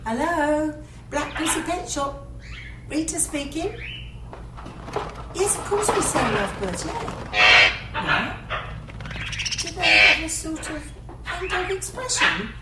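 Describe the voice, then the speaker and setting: An actress playing a tender, animal-loving British pet shop woman in a radio drama, gentle and coaxing in manner. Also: sits high, very animated, slow, long pauses, heavily acted. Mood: breezy. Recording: roomy, distant, noisy with animal sounds.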